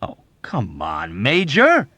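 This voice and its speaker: A man voicing a sly, timid wounded airman in an audiobook, deferential but quick to protest. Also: mid-range voice, big pitch swings, dry recording, pitched above the performer's own voice, natural voice. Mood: exasperated. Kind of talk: audiobook character acting.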